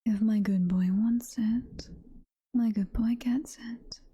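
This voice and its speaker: A British woman voicing a sweet, motherly, possessive demon girlfriend in a slow, cooing, breathy whisper for intimate ASMR roleplay. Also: on the high side, steady tone, dark tone, no echo, studio quality, exaggerated voice. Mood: tender, coaxing. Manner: slow, cooing, full of pet names.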